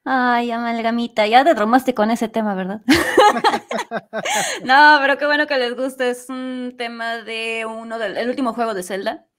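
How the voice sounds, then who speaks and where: Chatty woman, slightly high voice, Latin American accent. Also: measured pace, fairly bright tone. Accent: Latin American Spanish. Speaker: guest, amateur voice actress. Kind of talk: casual interview chat.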